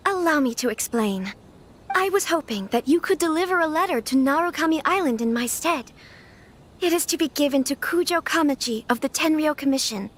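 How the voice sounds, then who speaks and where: A woman playing a refined, resolute young noblewoman in a video game, speaking formally and with composure. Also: high-pitched. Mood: earnest, pleading. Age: young adult.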